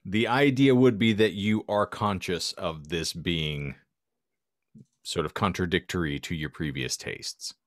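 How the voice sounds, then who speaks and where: A man's voice: deep voice, unhurried, no room sound, studio quality. A man co-hosting a casual podcast, with wordy, precise, mock-solemn phrasing. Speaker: podcast co-host. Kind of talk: casual group banter.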